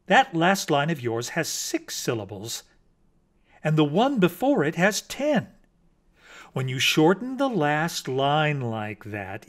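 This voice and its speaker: A man voicing a male mockingbird in a storybook, a cordial, pedantic critic who lectures in an effusive, condescendingly kind way. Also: a little high.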